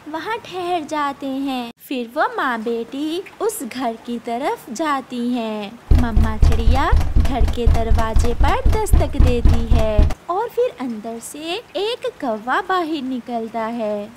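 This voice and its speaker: A woman's voice: on the high side, lively intonation, takes it slowly, hardly any pauses, loud music behind the voice. A woman narrator reading a scripted children's story.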